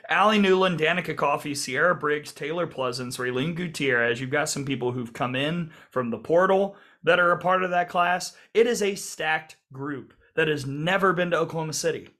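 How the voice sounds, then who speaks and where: A man co-hosting a sports podcast, his voice hoarse, upbeat and quick to laugh. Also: a little high, sharp tone. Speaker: podcast co-host.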